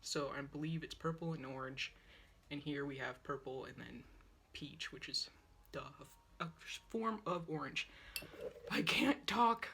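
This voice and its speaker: A woman's voice: sits low, unhurried, vocal fry, a bit bright, a little hiss or hum. A young woman in her twenties chatting casually to her phone camera while painting at home, sounding wheezy from a sore, dry throat. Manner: chatty, self-deprecating, talks while painting. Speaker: art vlogger. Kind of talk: rambling casual chat. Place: small yellow room at home, indoors. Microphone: phone on a tripod, close-up.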